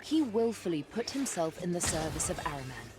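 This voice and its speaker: A woman's voice: fairly low pitch, sing-song, a little fast. An actress playing a stern, bitter warrior woman in a fantasy video game, speaking tersely and solemnly. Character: stern, bitter, determined warrior woman, former Republican soldier. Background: game music.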